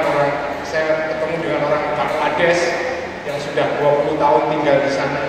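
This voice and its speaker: A middle-aged man with a high-pitched voice gives an informal, anecdote-filled talk. He speaks in short, measured phrases with pauses and self-deprecating jokes. The recording has a long hall reverb and clear background noise. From Indonesia. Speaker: Islamic cleric, guest speaker. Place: large echoing hall at a public forum. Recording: very echoey hall, distant mic, noisy.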